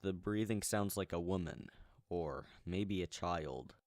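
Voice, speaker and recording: A man reading a scripted first-person horror story in a measured, deliberate way. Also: low voice, a little mellow, no room sound, studio quality. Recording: music behind the voice. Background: background music.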